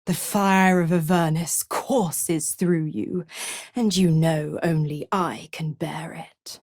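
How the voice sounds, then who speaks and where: A woman's voice: sits low, steady tone, slow pace, a little mellow, full character voice. A woman playing a seductive, scheming half-devil in a video game, smug and condescending, with a silky, theatrical delivery and mock sweetness. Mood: smug, taunting. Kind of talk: scripted video game character acting.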